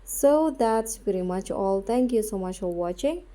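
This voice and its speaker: A woman narrates a step-by-step app tutorial in a soft-edged, mellow voice. She takes it slowly, at a medium pitch, halting between short phrases as she guides the viewer. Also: lively intonation. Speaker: tutorial host.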